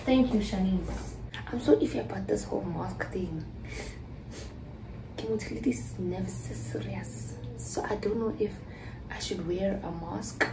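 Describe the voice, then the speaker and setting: A woman's voice: mid-range voice, measured pace, vocal fry, noisy background. A young South African woman chatting to her phone camera in a small dorm room, rambling and dropping into whispered asides. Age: young adult. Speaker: student vlogger. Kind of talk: casual vlog chat to camera. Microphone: phone camera at arm's length.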